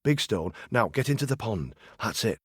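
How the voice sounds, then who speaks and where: A man voicing a clever, scheming talking cat in a storybook, brisk and bossy. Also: lowish voice, very animated, breathy edge, no room sound, clean studio sound.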